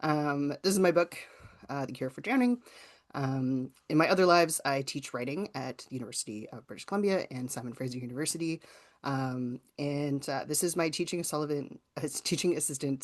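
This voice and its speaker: Canadian accent, writing teacher, medium pitch, informal webinar presentation, explanatory, conversational. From rural southwestern Ontario, Canada. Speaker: writing teacher and author giving a workshop.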